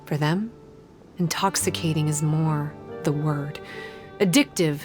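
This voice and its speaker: Fantasy game guide, woman, low-pitched, composed, analytical, formal measured delivery, music playing behind. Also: a little mellow. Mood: composed, explanatory. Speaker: game character giving quest briefing. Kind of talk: scripted game dialogue.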